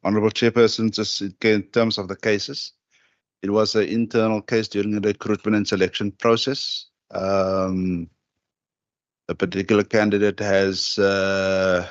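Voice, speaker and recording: A man answering questions formally at a committee hearing, measured and hesitant with many fillers. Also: fairly low pitch, level tone, dry recording, dull audio. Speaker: department head answering a committee.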